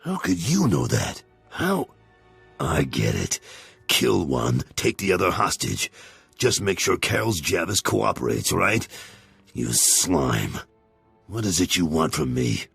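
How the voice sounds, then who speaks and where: An actor playing a furious, grieving middle-aged enforcer in a video game, gravelly and raspy, swinging between outbursts and low growls. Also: male voice, huge pitch swings, slow, no room sound, faint music behind, heavily acted. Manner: bitter, explosive, swinging from shouts to low growls. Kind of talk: dramatic video game acting.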